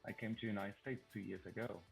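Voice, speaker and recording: Low, creaky-voiced Salvadoran man, Spanish native with British-tinged English, talking casually as a stream participant. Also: steady tone, quick pace, poor audio. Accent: British-influenced English. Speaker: participant joining live stream. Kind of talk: casual chat. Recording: music under the voice. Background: music.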